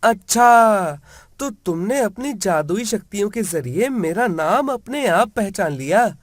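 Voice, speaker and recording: A man voicing a poor, grateful village boy in an animated moral story, with an amazed, exclaiming manner. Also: high voice, slow, no room sound, clean studio sound, pitched above the performer's own voice.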